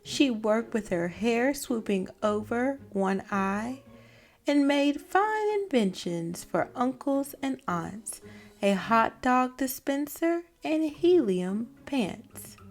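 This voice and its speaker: A woman reading a children's picture book aloud with deliberate, dramatic phrasing. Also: fairly high pitch, big pitch swings. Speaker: read-aloud storyteller.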